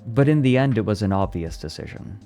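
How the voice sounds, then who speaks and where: A man's voice: on the low side, expressive, brisk, dark tone, faint music behind. A young man chatting casually on a live stream, reading and answering his chat and laughing often. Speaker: VTuber streamer.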